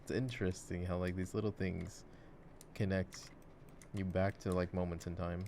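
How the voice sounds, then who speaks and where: Guy with a really deep voice doing chatty asmr while gaming, kinda reflective, some mouse and keyboard noise. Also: little pitch movement, moderate pace, darkish tone. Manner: reflective, musing. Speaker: ASMR voice actor playing games. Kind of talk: intimate ASMR gameplay chat. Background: mouse clicks and keyboard typing.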